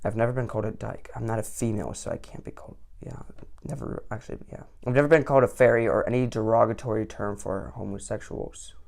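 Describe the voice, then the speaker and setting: Teen guy vlogging, gravelly fry in his voice, snarky and mock-outraged. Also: fairly low pitch, fairly even intonation, fast talker, darkish tone. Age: late teens. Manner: sarcastic, mock-indignant outbursts. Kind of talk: sarcastic commentary on an online quiz.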